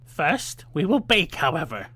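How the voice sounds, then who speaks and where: A man voicing a wicked old witch from a storybook, with a theatrical, menacing, drawn-out delivery. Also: fairly high pitch, sing-song, bright, forceful tone, breathy edge, voice pushed up, exaggerated voice. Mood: scheming. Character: wicked old witch, cajoling then cruel and impatient. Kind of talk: dramatized fairytale storybook reading.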